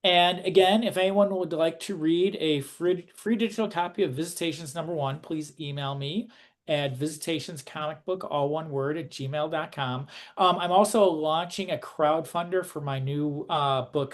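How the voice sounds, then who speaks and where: A man with a fairly high voice hosts a casual interview. His delivery is hesitant and halting, with informal, loosely built sentences. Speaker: interviewer, host.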